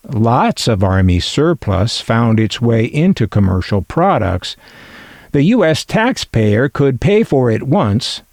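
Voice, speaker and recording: Older guy narrating collector video, slow talker, wry musing, pitch goes up n down a lot. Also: a little mellow, dry recording. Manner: wry, musing aloud with dry humor. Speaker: collector presenting vintage radio finds. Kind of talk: scripted narration of a hobby video.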